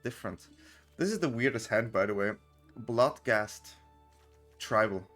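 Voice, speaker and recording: Male streamer with a non-native accent, relaxed gameplay talk with faint music under it. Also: medium pitch, a little slow. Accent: unplaced non-native accent. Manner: chatty, loose, with many fillers and restarts. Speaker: content creator and streamer. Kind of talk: casual gameplay commentary.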